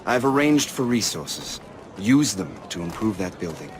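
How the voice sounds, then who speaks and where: An actor playing a high-minded colonial-era army colonel in a game cutscene, speaking formally in a measured, gentlemanly manner. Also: male voice, big pitch swings, heavy background noise. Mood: encouraging. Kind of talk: dramatic game cutscene dialogue.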